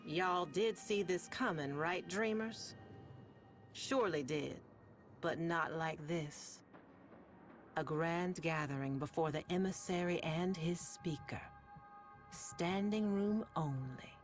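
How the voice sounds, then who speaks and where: A woman playing a sardonic, world-weary radio host in a sci-fi game, telling stories in a deliberate, ominous, wry way with long pauses over music. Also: fairly low pitch. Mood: dark, suspenseful. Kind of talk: slow dramatic monologue to listeners, storytelling.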